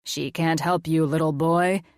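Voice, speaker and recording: A woman voicing a man playfully acting as a mad scientist, slow and drawn out. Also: low-pitched, fairly even intonation, smooth, dry recording, studio quality. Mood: mock menacing. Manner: slow, drawn-out, mock-menacing.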